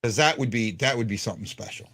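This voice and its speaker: A man with a deep voice hosting a casual fan podcast, rambling with stumbling restarts. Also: fast, pushed and bright, husky. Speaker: podcast host.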